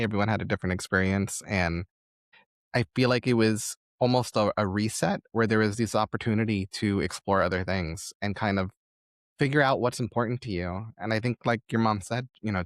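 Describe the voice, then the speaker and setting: Lower-voiced man hosting an interview. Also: dry recording, studio quality. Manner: admiring, reflective, affirming. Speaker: podcast host interviewer. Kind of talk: conversational interview.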